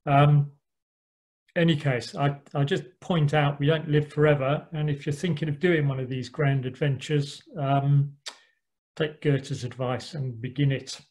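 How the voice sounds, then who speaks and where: Relaxed man giving a sailing talk. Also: a little high, a little slow. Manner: relaxed, with frequent ums and dry asides. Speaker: yacht club speaker. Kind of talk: slide talk about a sailing voyage. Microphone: online call microphone.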